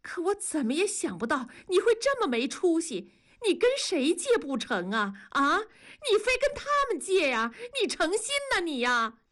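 A woman voicing a proud, educated young wife who scolds her husband in a fast, shrill voice. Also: husky. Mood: furious.

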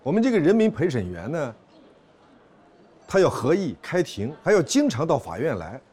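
An actor playing a harried court officer in a screen drama, placating and evasive, with dry exasperation and repeated words. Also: male voice, fairly high pitch, wildly swooping pitch, moderate pace, a little mellow, breathy edge, exaggerated voice. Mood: cautious. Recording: noticeable background noise and ambience.

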